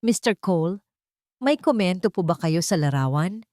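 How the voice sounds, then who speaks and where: A woman's voice: lowish voice, expressive, normal speed, darkish tone, no room sound, natural voice. Accusing opposing attorney played by a woman, formal, insinuating and doubtful, courtroom audio drama, dry close sound.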